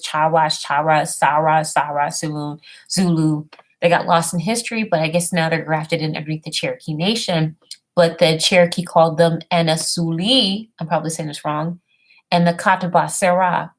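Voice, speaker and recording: Woman rambling through history notes. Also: lowish voice. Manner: meandering, stumbles over old words and names. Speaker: self-made history presenter. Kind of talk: casual talk and halting reading aloud.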